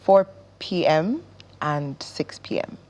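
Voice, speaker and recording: A woman with a Nigerian accent speaking as a guest in a casual interview, explaining things in a measured way. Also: deep voice, animated, darkish tone, highs cut off. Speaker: interview guest, stage actress.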